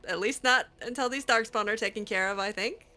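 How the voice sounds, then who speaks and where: A woman's voice: medium pitch, brisk, pushed and bright. A woman hosting a game roleplay, talking back to characters with sarcastic, hesitant remarks and laughs. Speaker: roleplay game player and channel host.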